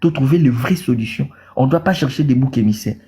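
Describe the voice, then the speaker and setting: A man with a Congolese French accent makes a fervent political case. His voice is dark and mellow, and he stumbles over repeated words as he presses his point. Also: mid-range voice, brisk. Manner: repeats words, mixes in Lingala. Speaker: caller in a debate. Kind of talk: impassioned political talk.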